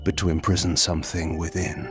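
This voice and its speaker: A man playing a proud, ancient vampire lord who narrates a video game in measured, eloquent and sardonic speech. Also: low-pitched, little pitch movement, music in the background, close to an everyday voice. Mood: pondering, suspicious. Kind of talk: theatrical scripted game narration.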